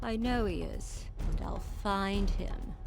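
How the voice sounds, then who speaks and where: A lonely, deranged woman narrates a video game cutscene. She is wistful, self-pitying and vain, fixated on finding love, and speaks at a middling pitch under very loud music. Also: wildly swooping pitch, takes it slowly, exaggerated voice. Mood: obsessive, lovelorn.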